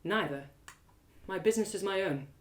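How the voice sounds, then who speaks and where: A woman's voice: medium pitch, normal speed, reverberant, lightly acted. A woman voicing a proud, suspicious female elf warrior in an audio drama, terse, clipped and cold. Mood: guarded, cold.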